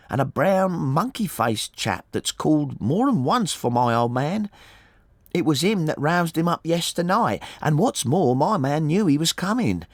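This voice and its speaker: An anxious, chatty working-class wife from a storybook, played by a man, pitched high and swinging as she talks. Her speech is colloquial and deferential, full of fretting, and the sound is close and dry. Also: dry recording, full character voice. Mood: uneasy, insistent. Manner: chatty, colloquial, deferential, saying sir often. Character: anxious, talkative working-class married woman, stoutish. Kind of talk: audiobook character voice.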